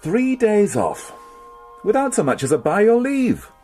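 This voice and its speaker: A man's voice: high voice, wildly swooping pitch, a little slow, darkish tone, faint music behind, heavily acted. An actor playing an irritable, sardonic male police inspector in a radio crime drama. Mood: aggrieved. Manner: curt, exasperated, sardonic.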